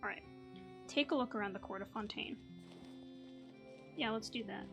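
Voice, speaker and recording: A woman's voice: mid-range voice, moderate pace, music in the background. A woman hosting a gaming video, giving casual, offhand commentary and reactions as she plays. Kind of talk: casual game commentary and reading along.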